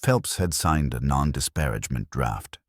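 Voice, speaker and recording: A man reading a first-person detective story as a scripted audiobook, in a dry, measured storytelling manner. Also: extremely low pitch, expressive, dry recording. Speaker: narrator and reader of a detective story.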